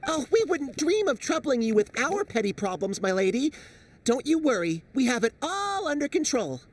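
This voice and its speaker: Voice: sits high, big pitch swings, normal speed, far from the mic. An actor playing a sardonic small forest creature, mock-polite and teasing, in a game cutscene. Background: music.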